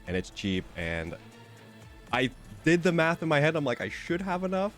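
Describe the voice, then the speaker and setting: A man's voice: fairly high pitch, very animated, moderate pace, a bit bright, soft background music. A young man with a stuffy, slightly nasal voice, chatting casually and rambling with lots of fillers while streaming a game. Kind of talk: casual chat while gaming.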